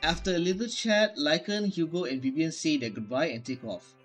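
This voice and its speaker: A man's voice: on the high side, fairly quick, a bit bright, faint music behind. A male gaming YouTuber giving casual commentary and reading game text aloud.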